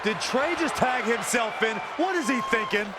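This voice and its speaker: A man's voice: very high voice, lively intonation, moderate pace, over a noisy crowd, very noisy. A man playing a cynical, snide and opinionated wrestling color commentator in a video game. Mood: scornful. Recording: loud music behind. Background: music.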